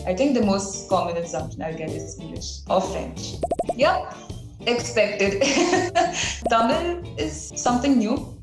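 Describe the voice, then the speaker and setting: A young woman talks casually to camera, giggling as she reacts to viewers' guesses. Her voice rings around a roomy space, and music plays clearly underneath. She is relaxed and chatty. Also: a little high, takes it slowly. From India. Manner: giggly, relaxed, reading out comments. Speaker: series participant. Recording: echoey room with music behind.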